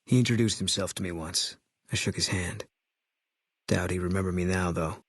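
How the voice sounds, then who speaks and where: An actor playing a terse, guilt-haunted ex-army sniper in a video game, deadpan and clipped, with a low husky voice. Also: male voice, normal speed, no room sound, clean studio sound. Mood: flat, matter-of-fact. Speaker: video game companion character.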